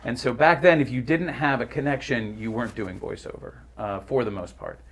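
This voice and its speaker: A man's voice: mid-range voice, a little fast. A middle-aged man, a voice actor, telling stories in a casual, articulate, emphatic conversational way. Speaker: voice actor guest.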